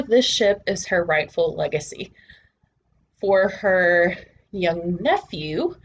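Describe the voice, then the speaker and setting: A woman speaks to viewers as the host of a book channel. Her pitch sits in the middle, and she phrases things deliberately, with short pauses. There is some faint background noise in the recording. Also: rough recording. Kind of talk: presenter-style talk to camera.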